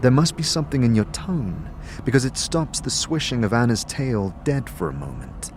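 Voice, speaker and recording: A man's voice: medium pitch, big pitch swings, moderate pace, music in the background. A man narrating a fantasy audio drama in the second person, with dramatic, atmospheric storytelling.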